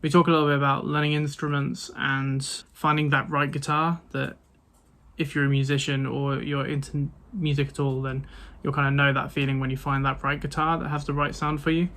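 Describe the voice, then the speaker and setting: A man's voice: a little high, flat delivery, fairly quick, a bit bright. A man hosting a podcast, introducing the episode in a friendly, slightly hesitant way with fillers. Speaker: podcast host.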